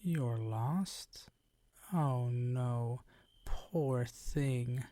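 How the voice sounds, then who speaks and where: A man voicing a suave, predatory vampire count in an intimate ASMR roleplay, speaking formally with drawn-out, condescending, teasing courtesy. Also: medium pitch, warm tone, no echo. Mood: smooth, condescending.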